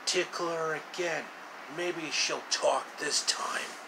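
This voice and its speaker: A man voicing an imperious, gloating villainous queen from a storybook, speaking deliberately. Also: a little high, steady tone, creaky, background noise.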